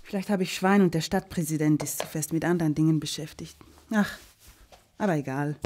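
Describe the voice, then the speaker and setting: A woman's voice: sits low, a little fast, a little mellow, a little hiss or hum, natural voice. An actress playing a broke young woman who runs her own small agency and narrates her troubles with sarcastic asides. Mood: wry. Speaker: narrator and lead.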